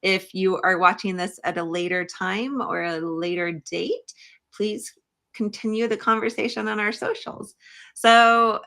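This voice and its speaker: A woman's voice: medium pitch, fairly even intonation, unhurried, gravelly, sharp tone. A woman hosting a conversational livestream interview, putting questions to a guest. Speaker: podcast livestream host.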